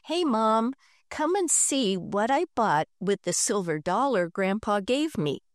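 A woman reading aloud and voicing an eager, excited young boy in a storybook. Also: fairly high pitch, measured pace, no room sound, studio quality. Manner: eager, pleading.

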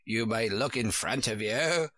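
A man voicing an elderly female sheep who keeps a shop, speaking in a slow, curt, unhelpful storybook manner. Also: mid-range voice, sharp tone, no echo, studio quality. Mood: curt, dry. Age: older. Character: curt old female sheep shopkeeper, knitting.

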